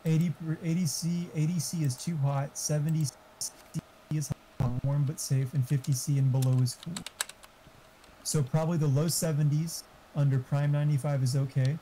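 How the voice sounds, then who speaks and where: A man's voice: on the high side, steady tone, normal speed, warm tone, slight background noise, low-quality recording. A man in casual gaming voice chat, giving opinionated, informal tech advice with some swearing. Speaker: gamer giving computer hardware advice.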